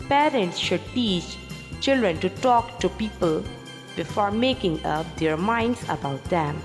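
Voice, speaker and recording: A woman narrates children's stories in a lively, swooping voice at a slow pace. Her words are deliberate and clearly pronounced, and music plays behind her. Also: fairly low pitch. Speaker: children's storyteller and teacher. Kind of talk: scripted story narration for children. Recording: long reverb, music behind.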